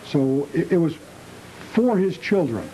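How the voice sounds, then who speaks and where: A middle-aged American man talks conversationally as an interview guest. His voice is mellow and a touch high, with faint room noise behind it. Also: very animated, a little slow, low-quality recording. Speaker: interview guest, appliance store proprietor and train collector.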